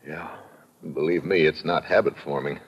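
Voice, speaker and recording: An actor with an American accent playing a tough, world-weary, wisecracking adventurer in a 1950s radio drama, terse and sardonic. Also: male voice, extremely low pitch, fairly even intonation, moderate pace, husky. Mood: weary, wry. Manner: hard-boiled, terse, sardonic. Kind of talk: 1950s radio drama acting, first-person noir narration. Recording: dull vintage radio recording with music.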